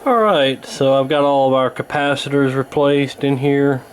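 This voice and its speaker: A man giving a casual hands-on repair walkthrough, talking in an unhurried, folksy way while he works. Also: medium pitch, steady tone, slight background noise. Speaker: host of a radio repair channel.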